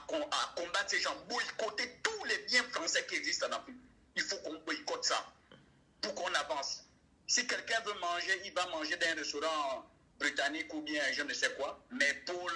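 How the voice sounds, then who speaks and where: A young man calls in to a debate show. His voice sits high and sounds bright and forceful, and he drives his points home with rhetorical questions. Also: animated, moderate pace, rough recording. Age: young adult. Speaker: caller. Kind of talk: call-in debate.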